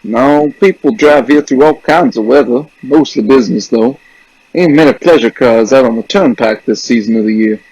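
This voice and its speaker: Man doing a folksy country gas station guy in a radio play, matter of fact, husky, dry sound. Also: mid-range voice, moderate pace, darkish tone, no echo, lo-fi sound, natural voice. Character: plain-spoken rural male gas station attendant. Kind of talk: suspense radio drama dialogue.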